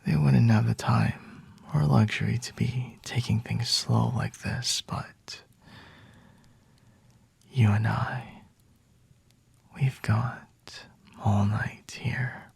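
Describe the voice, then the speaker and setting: A man playing a flirty, possessive vampire in an intimate ASMR roleplay, whispering slowly and teasingly in a breathy, husky voice. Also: mid-range voice, fairly even intonation, darkish tone, no room sound, full character voice. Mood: menacing, teasing.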